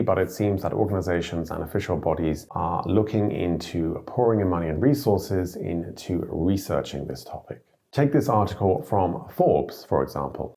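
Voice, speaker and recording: Low-voiced Englishman with dry wit. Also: mellow. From Britain. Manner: dry, wry asides. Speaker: vlogger. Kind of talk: scripted commentary to camera.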